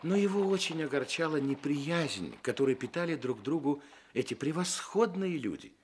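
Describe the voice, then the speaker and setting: A man narrating a literary story for a radio play, with wry, measured and expressive phrasing. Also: a little high, a little hiss or hum. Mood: fond, ironic.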